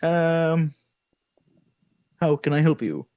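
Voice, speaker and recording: Brief: suspicious innkeeper, voiced lightly by a male game master, higher-pitched, dry sound. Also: very animated, slow, darkish tone, no echo, dull audio, full character voice. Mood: wary.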